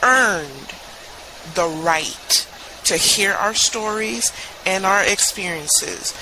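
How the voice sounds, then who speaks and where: A woman's voice: medium pitch, extremely slow, pushed and bright, low-quality recording. A woman, a therapist co-hosting a podcast, chatting casually and emphatically. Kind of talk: casual conversational chat. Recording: audible background noise.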